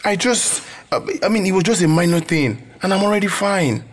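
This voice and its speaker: An actor playing a nervous, evasive young student with a Nigerian accent, defensive and apologetic in a radio drama. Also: male voice, high voice, lively intonation, a little fast.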